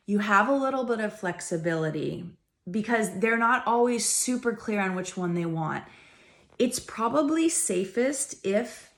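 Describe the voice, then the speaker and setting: A woman talks straight to her viewers in a relaxed vlog, laying out practical advice one step at a time. Her voice sits a little low for a woman, and she often circles back to restart a phrase mid-sentence. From United States. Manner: chatty, explains step by step with restarts. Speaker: travel vlogger. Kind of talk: casual explainer to camera.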